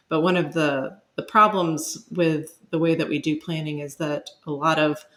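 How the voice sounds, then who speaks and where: A woman speaking as an expert guest in an interview, explaining in a measured, academic way and qualifying her points. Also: low-pitched. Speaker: podcast guest, city planning expert.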